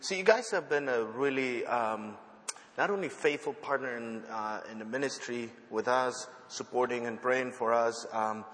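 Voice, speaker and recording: A man whose first language is not English, preaching as a visiting missionary in a church, halting with many fillers and restarts and joking at his own expense. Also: mid-range voice, fairly bright tone, slight background noise. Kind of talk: sermon. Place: church sanctuary. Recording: church hall reverb.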